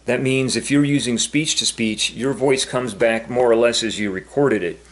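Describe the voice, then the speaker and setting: A man talking casually and unscripted as a vlogger demonstrating something, in a halting, repetitive, rambling way. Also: medium pitch. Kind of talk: casual unscripted explanation to camera.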